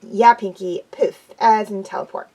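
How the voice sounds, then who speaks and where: A woman's voice: mid-range voice, fairly even intonation, unhurried, warm tone, poor audio. A woman voicing a brash, boastful female pegasus pony from a storybook. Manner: bossy, boastful.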